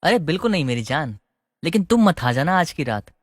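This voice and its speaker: A man's voice: fairly high pitch, big pitch swings, a little fast, no echo, clean studio sound, big-room sound. A man voicing an eager, bashful young newlywed husband in a family story. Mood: affectionate.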